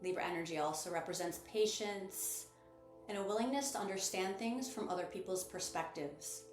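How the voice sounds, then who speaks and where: A woman narrates a guided meditation in a mid-pitched voice. Her delivery is measured and instructive, with gentle encouragement. The sound is noticeably roomy, with music underneath. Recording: roomy echo with music behind.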